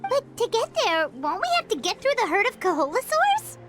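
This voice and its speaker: Casting: squeaky, excitable little floating sidekick girl, very sing-song, loud game music underneath. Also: normal speed. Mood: curious. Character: tiny floating girl companion, eager. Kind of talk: video game voice acting.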